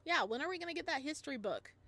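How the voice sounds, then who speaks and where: A woman streamer playing a visual novel, reacting excitably and laughing often. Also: high-pitched, rapid-fire. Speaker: game streamer.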